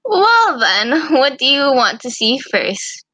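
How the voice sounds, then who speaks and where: A woman doing an amateur reading of character dialogue, with a halting delivery that sometimes restarts a line. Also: sits high, big pitch swings, bright, forceful tone, no echo. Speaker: reader voicing female characters in a fan visual novel.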